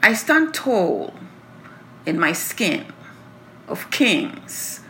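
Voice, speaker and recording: Woman, poet reading own work, slow deliberate pace, bright forceful voice, faint background noise. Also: medium pitch, big pitch swings. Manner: deliberate, emphatic delivery. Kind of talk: introducing and reciting own poem.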